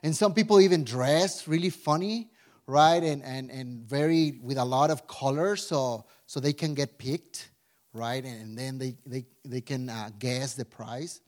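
A man in his forties from Mexico with a Mexican Spanish accent, preaching a casual sermon with a prayer, chatty and animated, full of restarts and "right?" asides. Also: medium pitch, a little slow. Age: 40s. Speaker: pastor.

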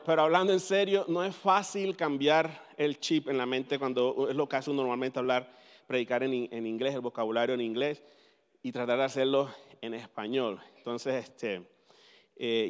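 A man whose first language is English, preaching a sermon in Spanish in an animated way and asking the audience questions. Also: medium pitch, big-room sound. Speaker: pastor.

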